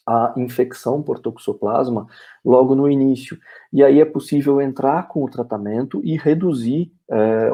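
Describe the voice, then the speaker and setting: Dark, husky Brazilian man lecturing. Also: mid-range voice. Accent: Brazilian Portuguese. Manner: explanatory, checks in with "ok?" and "né?", frequent "é" fillers. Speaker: veterinary lecturer. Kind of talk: webinar lecture.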